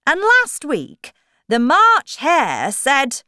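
A woman's voice: high voice, wildly swooping pitch, drawn-out words, sharp tone, dry recording, clean studio sound, pitched above the performer's own voice, heavily acted. A woman voicing a flustered, apologetic poor hatter, hesitant and pleading, in a storybook.

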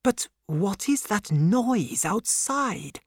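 A narrator playing a calm, confident elderly doctor who speaks in a measured, reassuring manner. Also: medium pitch, slightly rough, no echo, studio quality, pitched above the performer's own voice, exaggerated voice. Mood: calm assurance.

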